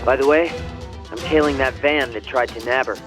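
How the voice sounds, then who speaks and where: Medium male pitch, young game informant, acted, polite, practical, easygoing, matter-of-fact, music behind. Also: normal speed, lightly acted. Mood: helpful. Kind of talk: English video game dub acting. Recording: background music under the dialogue.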